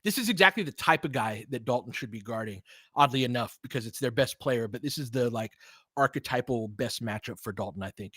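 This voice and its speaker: A man hosts live basketball play-by-play in a casual, analytical way. He talks like a fan chatting with listeners, with mild slang, at a middling pitch. The sound is close and dry, with very little room. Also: lively intonation, brisk, bright, forceful tone, slightly rough, no room sound. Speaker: play-by-play commentator and host.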